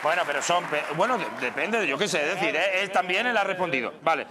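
A man's voice: high voice, lively intonation. A young Spanish man doing a playful, teasing comic street interview at night, holding a handheld mic. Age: young adult. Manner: playful, teasing, quick asides. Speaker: street reporter. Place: busy city street at night, outdoors. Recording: street crowd and traffic noise.

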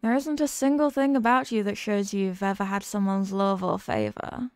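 A woman's voice: medium pitch, fairly even intonation, no echo, clean studio sound, close to an everyday voice. A woman voicing a condescending, sadistic and possessive demon queen who mocks the human listener in a scripted ASMR roleplay. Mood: probing, curious. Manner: condescending, mocking, deliberate and teasing.

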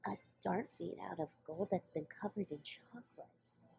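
A woman voicing a calm, dry, practical young female pony squire in a fan animation. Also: on the low side, normal speed, vocal fry. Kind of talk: animated fan drama dialogue.

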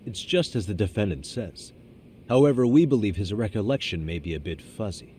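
A man's voice: on the low side, animated, mellow, a little hiss or hum, natural voice. An actor playing a composed, persistent defense lawyer in his thirties, speaking formally in a courtroom drama game. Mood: composed, firm. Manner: measured, formal, insistent.

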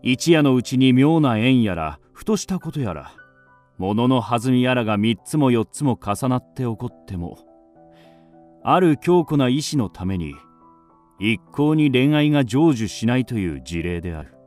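A man's voice: medium pitch, animated, moderate pace, darkish tone, soft background music, lightly acted. An actor playing a wry, lofty writer who narrates his youth in a literary radio drama. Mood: lofty, ironic.

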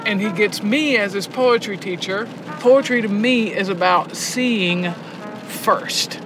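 A woman's voice: takes it slowly, music in the background. A woman talking casually in an interview, emphatic and reflective, making her points like a teacher. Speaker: head of a prison arts education program, poetry teacher. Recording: noisy location recording, background clatter and rumble.

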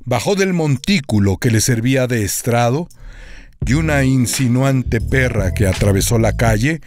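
A man's voice: expressive, moderate pace, a little mellow. A man giving a dramatic, declamatory reading of a literary speech. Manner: declamatory, rhetorical, shifting pitch for emphasis. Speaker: reader. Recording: reading over music.

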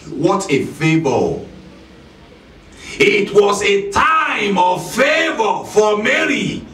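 A middle-aged male pastor preaching slowly and emphatically, repeating key lines and often raising his voice. His voice sits high and sounds bright and tense. It is recorded from across an echoey church hall with faint music behind. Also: slight background noise. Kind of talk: sermon. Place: church hall with red curtain. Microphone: handheld mic at a lectern. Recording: echoey hall, filmed from a distance.

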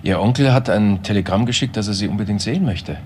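A man playing a detective's plain-spoken colleague in a crime drama, brisk and matter-of-fact. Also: lowish voice, slight background noise, natural voice. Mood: polite.

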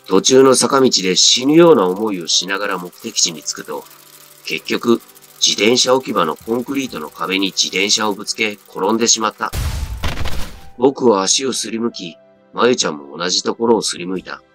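A man's voice: on the low side, lively intonation, moderate pace, a bit bright. A man reading a scripted first-person story as a dramatic narrator. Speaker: story reader. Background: background music.

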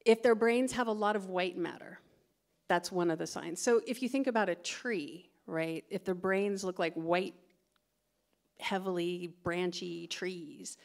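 A woman's voice: on the low side, vocal fry. A middle-aged American woman from California doing a chatty, self-deprecating stand-up set on a theatre stage into a stand microphone. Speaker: stand-up comedian. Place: theatre stage before a live audience.

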